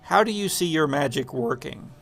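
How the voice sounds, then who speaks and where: A man acting as game master for a tabletop role-playing game, improvising narration and table chat in halting, deliberate phrases. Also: on the high side, animated, gravelly, a bit bright.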